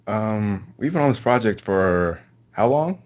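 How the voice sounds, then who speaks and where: A man's voice: lowish voice, expressive, unhurried, a little mellow. A man being interviewed as a voice actor, giving brief, easygoing answers in a casual chat.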